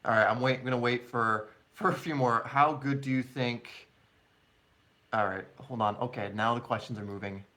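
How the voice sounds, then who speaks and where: Bright-voiced man chatting on a live stream. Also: mid-range voice, fairly even intonation, normal speed. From born in England, long living in the United States. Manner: chatty, informal, addresses viewers as mate and guys. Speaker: soccer YouTuber and live stream host. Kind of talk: casual live stream Q&A chat.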